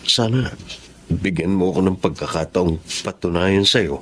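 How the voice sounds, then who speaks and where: A lovestruck young Filipino man in a radio drama. His voice is fairly low, polite and earnest, with faint noise behind it. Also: normal speed, a bit bright. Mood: earnest, loving.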